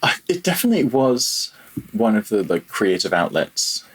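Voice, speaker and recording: Man, very deep voice, slightly rough and husky, hesitant, self-deprecating, casual interview chat. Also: sing-song, moderate pace, fairly bright tone, low-quality recording. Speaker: podcast guest, freelance audio developer.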